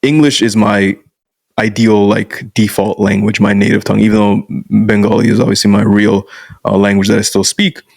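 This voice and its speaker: A young man with a fairly low voice talks bluntly and casually as a podcast guest, dropping "bro" into his points. He grew up in Canada. The sound is dry and close, with no room echo. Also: flat delivery, moderate pace, vocal fry. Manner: frank, blunt, peppers speech with "bro". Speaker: guest masculinity coach.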